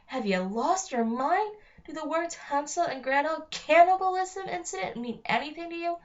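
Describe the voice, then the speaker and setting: An anxious young storybook boy, read out by a woman, high in pitch and full of exasperated, sarcastic questions. The sound is slightly muffled and lo-fi. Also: animated, moderate pace, darkish tone. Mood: incredulous. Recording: dull lo-fi home recording.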